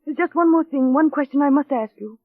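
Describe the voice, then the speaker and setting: Anxious young bride played by a woman, vintage radio drama: high-pitched, hesitant, earnest, dry and tinny sound. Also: brisk, no echo, lightly acted. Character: timid, anxious, insecure young wife. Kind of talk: 1930s radio drama acting. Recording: tinny, band-limited old radio recording.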